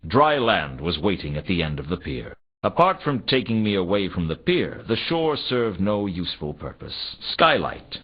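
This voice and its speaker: Low, deadpan noir private eye. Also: male voice, breathy edge, full character voice. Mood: musing, deadpan. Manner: dry, deadpan, wry. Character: world-weary, suspicious, sardonic male noir private detective. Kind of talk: hardboiled noir game narration. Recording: slightly dull, compressed console game audio.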